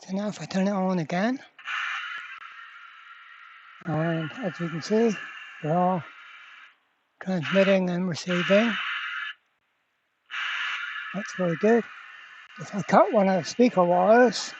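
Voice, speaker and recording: A repair channel host giving a casual hands-on demonstration at a workbench, halting and thinking aloud while working. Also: medium pitch, highs cut off, background noise. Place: indoor workbench.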